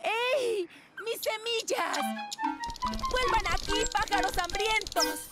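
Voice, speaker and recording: A woman's voice: extremely high pitch, slow pace. A woman voicing a cheerful, doting cartoon mother with a theatrical, cooing way of talking. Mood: dismayed. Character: cheerful doting mother who adores her garden. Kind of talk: Spanish-language cartoon dub. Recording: loud music bed under studio voice.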